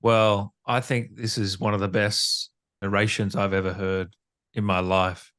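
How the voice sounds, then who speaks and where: A man's voice: lowish voice, level tone, measured pace, fairly bright tone. A man working as an interview host, chatting casually and putting questions to a guest.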